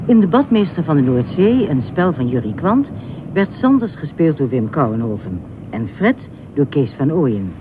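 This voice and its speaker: A man's voice: a little high, big pitch swings, normal speed. A man announcing the credits of a radio play in a scripted style. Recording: narrow phone-like old radio recording, background noise.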